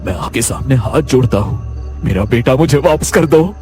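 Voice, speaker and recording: A man's voice: medium pitch, animated, moderate pace, a little mellow, soft background music, lightly acted. A man voicing a desperate father who is fearful and pleading for his son, in a deferential manner.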